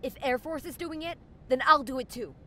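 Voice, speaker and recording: Woman as high-voiced, hot-tempered young ice heroine. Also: a little fast, sharp tone, slight background noise. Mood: defiant. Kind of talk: acted comic dub dialogue. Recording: prominent music behind the voice.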